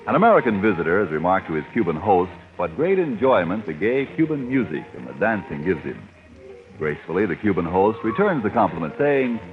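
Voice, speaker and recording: Low-voiced, animated male vintage radio announcer. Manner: polished, formal salesmanship. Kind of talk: 1940s radio sponsor advertisement, scripted. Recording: muffled, noisy vintage radio recording.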